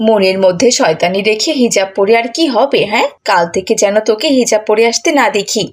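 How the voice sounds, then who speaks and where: A woman's voice: mid-range voice, lively intonation, a little fast, pitched below the performer's own voice, natural voice. Woman as a scornful cartoon teacher, female, scolding, sarcastic, harsh, bullying. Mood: angry scolding. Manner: scolding, sarcastic rhetorical questions.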